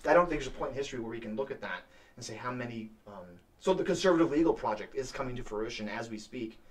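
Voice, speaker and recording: A man co-hosting a political talk show, speaking haltingly with many restarts. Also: mid-range voice, animated, distant mic. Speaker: co-host. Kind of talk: casual political discussion.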